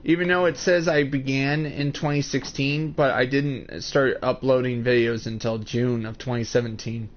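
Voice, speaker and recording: A man's voice: medium pitch, fairly even intonation, moderate pace, few pauses. A man in his twenties giving casual commentary while streaming a building game, explaining each step and talking to chat viewers by name. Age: 20s. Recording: muffled lo-fi stream mic.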